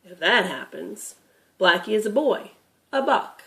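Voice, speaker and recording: A woman's voice: medium pitch, expressive, unhurried, fairly bright tone. A woman voicing a patient, knowledgeable grandfather who explains things emphatically in a children's storybook reading. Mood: patient, explanatory.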